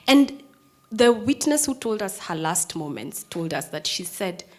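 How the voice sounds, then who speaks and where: A Kenyan woman in her thirties speaks to an audience about her work as a prosecutor, in the tone of personal storytelling. Her voice is strong and firm, in an ordinary middle range for a woman. She drops to hushed, almost whispered lines and then rises loud for emphasis. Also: medium pitch, big pitch swings, a little slow. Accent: Kenyan English. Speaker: prosecutor, speaker giving a talk.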